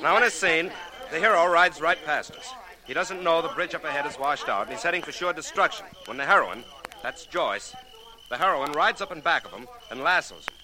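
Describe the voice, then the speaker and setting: An American actor playing a bossy, impatient Hollywood film director in a 1940s radio drama, brusque and commanding. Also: male voice, fairly high pitch, expressive, brisk, a crowd in the background, natural voice. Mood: explanatory. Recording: vintage radio with busy background sound.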